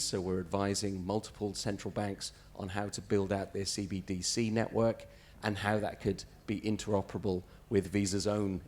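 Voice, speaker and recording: Low-voiced man on a conference panel. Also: a little mellow. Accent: Australian. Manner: measured, corporate, frequent self-corrections.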